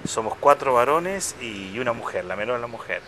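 A man in his fifties, a parish priest, talking in a relaxed interview with hesitations and restarts. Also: medium pitch, slight background noise. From Chile. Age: 50s. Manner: unhurried, reflective, with many hesitations and restarts. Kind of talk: casual interview conversation. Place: outdoor restaurant patio. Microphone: lapel mic.

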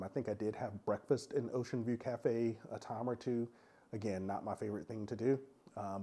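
Raspy congested guy, low mellow voice, chatty and frank, lots of ums. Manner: frank, chatty, many "um" fillers. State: congested from a cold. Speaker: vlogger reviewing a cruise. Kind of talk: casual review monologue.

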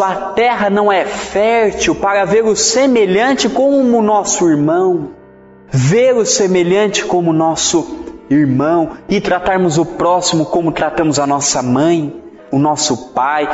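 Brazilian male Spiritist lecturer, fairly high voice with very lively intonation, emphatic and didactic. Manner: didactic, emphatic, with rhetorical questions and repetition. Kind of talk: religious lecture. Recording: echoey hall, dull sound, music.

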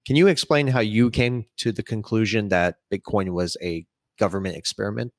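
A man in his thirties speaks in a low voice as he hosts an interview. He lays out long, opinionated questions over a video call. The sound is dry, with very little room in it. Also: moderate pace, no echo. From Saudi Arabia. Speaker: interviewer and host. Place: small home room, indoors.

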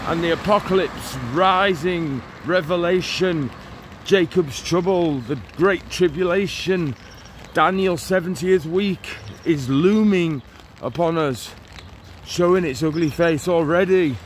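A man's voice: high voice, measured pace. A man in his forties vlogging on a phone held at arm's length as he walks outdoors in the rain, preaching in an earnest, urgent way and often repeating himself. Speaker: street evangelist vlogger. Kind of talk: walk-and-talk preaching vlog. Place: outdoors on a town street in the rain. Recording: phone on a street with traffic noise. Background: passing traffic.

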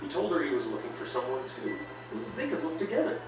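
A man's voice: on the high side, wildly swooping pitch, fast. A man reading a children's read-along story as a scripted narration. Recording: echoey, distant, with loud music. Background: loud music.

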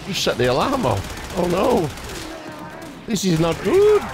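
A man giving casual let's play commentary, talking to himself with excitable exclamations and repeated words. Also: sits high, a little slow, noisy background. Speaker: video game streamer. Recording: game music and gunfire behind. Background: game music and gunfire.

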